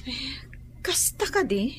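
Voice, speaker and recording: Slow-talking, high-voiced Ilocano wife. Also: female voice, sing-song, a bit bright, slight background noise, full character voice. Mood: concerned. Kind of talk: radio drama acting. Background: music.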